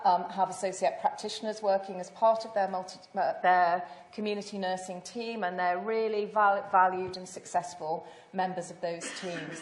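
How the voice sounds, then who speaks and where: An older British woman, a senior nurse, speaks on a panel in a loud, fairly low voice. She is measured, pausing and restarting as she thinks aloud. Her words ring out in an echoey lecture hall. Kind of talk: panel answer.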